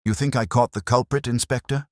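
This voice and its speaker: A man playing an eager village photographer, lifted pitch. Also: steady tone, a little fast, no room sound, clean studio sound, lightly acted. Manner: bright, eager, helpful. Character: cheerful, eager male village amateur photographer.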